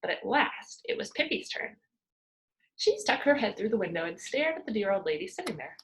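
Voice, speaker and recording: A woman reading a children's story aloud like a teacher, expressive and dramatic. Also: mid-range voice, a little fast, slight background noise, rough recording.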